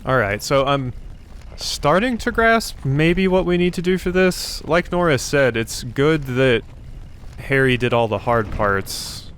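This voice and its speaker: A man's voice: medium pitch, animated, unhurried, fairly bright tone, slight background noise. A man giving casual gameplay commentary, thinking aloud and questioning himself as he goes. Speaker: let's play host.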